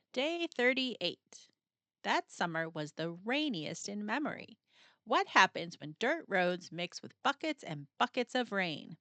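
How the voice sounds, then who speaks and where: Very animated woman reading a children's story. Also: medium pitch, no room sound. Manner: dramatic, expressive storytelling with suspenseful pauses. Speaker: storybook narrator. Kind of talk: scripted children's read-aloud narration.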